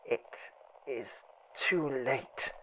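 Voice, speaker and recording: A man voicing a dying old aristocrat, bitter and secretive, with a harsh, breathy voice and slow, labored speech in an audiobook horror tale. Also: mid-range voice, a bit bright, rough recording. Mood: defiant. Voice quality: harsh, breathy rattling whisper.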